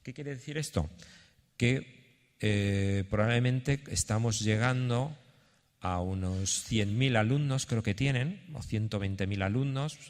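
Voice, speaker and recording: A man giving an informal conference presentation in a halting, explanatory way, as if thinking aloud. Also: fairly low pitch. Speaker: theatre institution representative presenting a project. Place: conference hall. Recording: echoey hall with long reverb.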